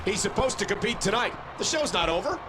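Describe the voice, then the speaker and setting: An actor playing an earnest, emphatic wrestling play-by-play commentator in a video game. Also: male voice, sits high, lively intonation, quick pace, over music, rough recording. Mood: concerned.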